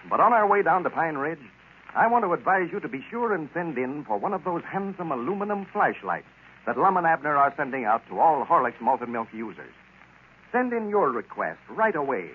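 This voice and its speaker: An American man announcing and pitching a sponsor in folksy, polished 1930s radio style. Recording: scratchy, tinny 1930s radio broadcast.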